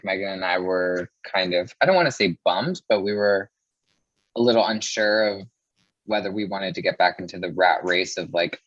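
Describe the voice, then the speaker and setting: A man with a fairly low voice talks in a dry, slightly muffled recording. He answers interview questions casually, reflecting on his business in informal phrasing. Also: a bit bright, no echo. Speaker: mortgage company co-founder, interview guest.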